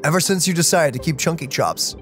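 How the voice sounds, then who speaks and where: A streamer giving casual, excitable, joking commentary during a video game playthrough. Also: mid-range voice, brisk, faint music behind. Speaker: let's play streamer reading game text aloud.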